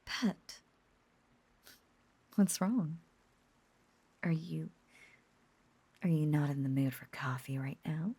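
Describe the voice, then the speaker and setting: A woman voicing an obsessive, possessive witch in an intimate roleplay audio drama, cooing and coaxing in soft, breathy half-whispers. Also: low-pitched, slow, pauses often, heavily acted. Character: lovesick yandere witch, obsessive, possessive, sweetly unhinged.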